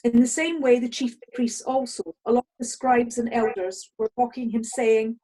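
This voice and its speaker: A woman narrates a dramatic scripture reading over a video call. Her voice sits on the high side and has a clearly husky grain. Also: steady tone, moderate pace, mellow, low-quality recording. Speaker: narrator in a congregation's Passion reading.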